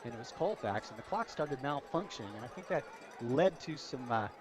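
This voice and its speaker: A man's voice: medium pitch, lively intonation, fairly quick. A man giving conversational live football commentary as a radio color commentator. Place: outdoor high school football stadium. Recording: outdoor broadcast, clear crowd noise. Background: stadium crowd noise.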